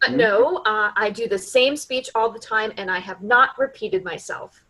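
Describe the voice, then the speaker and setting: A woman playing a prim, flustered grief counselor in a staged dark comedy, speaking politely and formally with hesitations. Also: fairly high pitch, moderate pace. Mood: prim, procedural.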